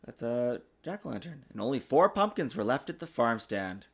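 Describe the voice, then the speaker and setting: A man reading a children's storybook aloud in an expressive, sing-song way, as a father does for his young child. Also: mid-range voice, moderate pace, breathy edge. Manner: patiently answers child's interruptions. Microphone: desktop USB microphone.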